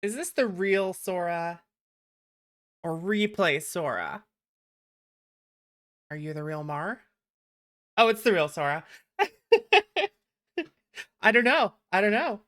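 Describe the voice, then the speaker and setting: A woman with a slightly low voice chats casually as a livestream host. Her delivery is animated and teasing, loose and conversational, with the odd swear word. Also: takes it slowly, pauses often.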